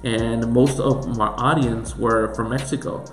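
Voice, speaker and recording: A pastor with a Puerto Rican accent teaches in an earnest, emphatic way, working through one question after another. His voice sits at an ordinary middle pitch for a man. It is recorded in an echoey room with soft music underneath. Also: steady tone, measured pace. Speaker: pastor and church planter. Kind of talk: teaching talk.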